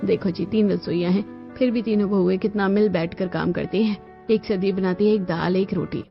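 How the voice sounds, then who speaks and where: A woman voicing a calm, practical mother-in-law in a cartoon family story. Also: medium pitch, brisk, creaky, lightly acted. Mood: content. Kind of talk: Hindi cartoon story voice acting. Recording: dull lo-fi audio over music.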